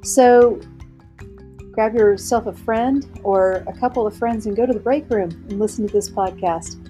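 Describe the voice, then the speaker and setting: Mellow-voiced woman opening a podcast. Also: medium pitch, normal speed, faint music behind. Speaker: podcast host. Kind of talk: scripted show intro.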